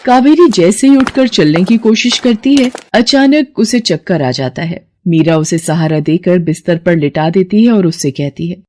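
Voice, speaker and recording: A woman's voice: fairly low pitch, normal speed, hardly any pauses, a little mellow. A woman narrating a scripted moral story as a storyteller.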